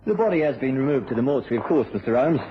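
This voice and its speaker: An actor playing a deferential, world-weary English police sergeant in a vintage radio drama, respectful, matter-of-fact and resigned. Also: male voice, medium pitch, animated, racing, natural voice. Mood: apologetic. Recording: muffled, noisy old radio recording.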